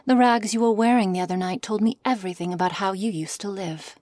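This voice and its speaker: A woman's voice: mid-range voice, a little mellow, no room sound, natural voice. Woman acting as a cold, haughty witch, close and dry. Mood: mocking, disdainful. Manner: condescending, measured, formal diction, commanding. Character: cold, haughty witch, imperious captor of a werewolf. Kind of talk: ASMR roleplay, scripted character acting. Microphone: close studio mic, dry.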